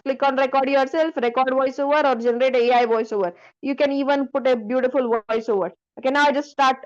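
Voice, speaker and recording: A woman with a high voice gives an instructional walkthrough of software. She explains step by step and keeps checking that the learner follows. The recording is dull and lo-fi. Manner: instructive, frequently checking the listener follows with short questions. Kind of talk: screen-share software tutorial.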